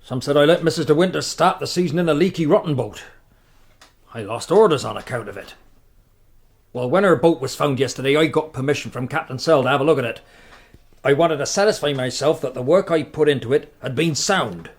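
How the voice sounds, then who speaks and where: An actor playing an aggrieved, plain-spoken boat builder in a radio drama, earnest, defensive and deliberate. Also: male voice, on the high side, lively intonation, breathy edge. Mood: aggrieved, insistent.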